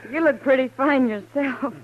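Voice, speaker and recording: An actress playing a gentle, warm-hearted saloon keeper woman in a 1950s radio drama, speaking softly and tenderly. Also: high-pitched, moderate pace, dry recording. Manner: soft, quiet and tender. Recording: dull old radio broadcast.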